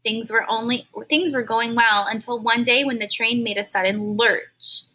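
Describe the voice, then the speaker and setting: A woman reads a children's storybook aloud in a fairly high, bright, clear voice. She reads expressively and pauses to explain harder words to young listeners in plain, simple language, the way a teacher would. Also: fairly even intonation, low-quality recording.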